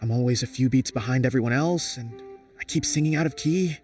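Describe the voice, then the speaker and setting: A man's voice: mid-range voice, brisk, darkish tone, far from the mic, soft background music, lightly acted. An actor playing a shy, gloomy male frog singer who talks hesitantly and sighs. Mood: downcast. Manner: hesitant, sighing.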